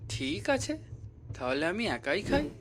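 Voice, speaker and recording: A man plays a high-pitched young traveller in a cartoon ghost story, courteous, curious and sceptical. He talks conversationally, with crickets and faint noise behind him. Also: big pitch swings, unhurried, fairly bright tone, heavily acted. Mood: polite, friendly. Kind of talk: dramatised audio horror story for cartoon.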